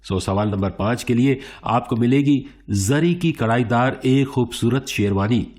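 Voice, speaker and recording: A man playing a suave, encouraging game show host in a radio play, presenting theatrically with deliberate pauses. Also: mid-range voice, darkish tone, close to an everyday voice. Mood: suspenseful. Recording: music bed behind the voice.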